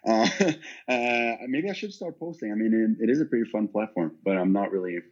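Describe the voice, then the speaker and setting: A man's voice: fairly low pitch, moderate pace. A young man from Norway, a guest chatting casually in an interview, often stammering over restarts and laughing. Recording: dull lo-fi sound.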